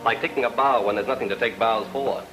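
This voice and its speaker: A man's voice: lowish voice, quick pace, lightly acted, poor audio. An actor playing a dry, sardonic man in an old-time radio mystery, wry and mocking. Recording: old radio recording with music.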